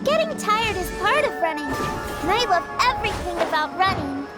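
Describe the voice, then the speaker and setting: A woman's voice: very high-pitched, sing-song, moderate pace, over loud music. A woman playing an earnest, dreamy, tender-hearted young girl in a video game, speaking in a reflective, wondering way. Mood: cheerful. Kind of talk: video game character acting.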